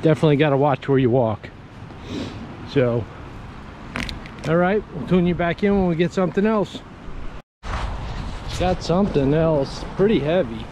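A man in his forties talks to his viewers in an upbeat, chatty way while he works outdoors on an old railroad bridge. His voice sits a bit high. The wearable action camera picks up clear background noise from outside. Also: normal speed, long pauses. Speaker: magnet fishing vlogger. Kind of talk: casual outdoor vlog narration. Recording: noisy outdoor action-cam audio.

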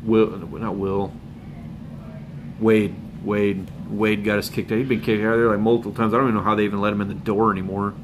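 A man hosts a fishing channel live stream from home, chatting loosely with viewers and sounding hoarse from a cold. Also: lowish voice, level tone, fairly quick, background noise. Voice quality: rambling, laid-back, with chuckles and filler words. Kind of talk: casual live stream chat. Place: home room with bookshelves and a window. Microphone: phone camera up close.

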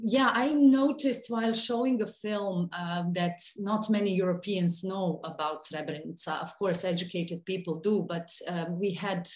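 A woman film director from Bosnia and Herzegovina, answering in a panel discussion over a video call, with fillers and slightly non-native phrasing. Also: on the low side. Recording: roomy video call audio.

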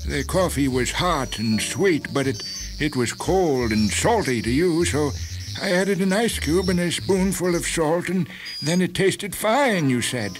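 An actor playing an uneasy, apologetic doctor in a radio drama, speaking hesitantly and haltingly. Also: male voice, fairly high pitch, lively intonation, over music, rough recording. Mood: uneasy, explaining.

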